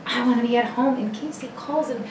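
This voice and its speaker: Woman acting boy sidekick, high voice, anxious, eager, radio serial, echoey room. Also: a little fast, far from the mic, lightly acted. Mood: determined. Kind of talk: 1940s radio serial read-through. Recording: echoey room, off-mic, faint noise.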